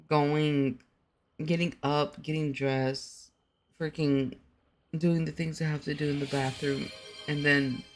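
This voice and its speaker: A woman's voice: low-pitched, a little hiss or hum. A young woman streamer who just woke up, groggy and tired, chatting casually with her viewers and laughing often. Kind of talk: casual chat.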